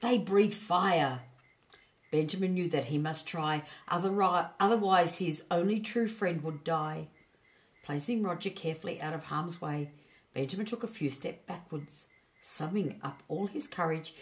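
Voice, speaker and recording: A woman storyteller narrating a children's storybook. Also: fairly low pitch.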